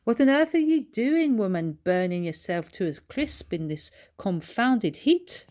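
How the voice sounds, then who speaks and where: A woman's voice: mid-range voice, very animated, a little slow, darkish tone, pitched above the performer's own voice. A woman voicing a stocky, hearty, blunt Scottish biker with a brash, exclamatory way of talking, in a storybook reading. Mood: brash, scolding.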